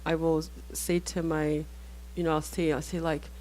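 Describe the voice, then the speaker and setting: A woman author whose first language is Haitian Creole, speaking thoughtfully in a panel discussion, pausing and restarting often. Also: sits low, flat delivery, a little mellow, poor audio. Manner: thoughtful, halting, many restarts.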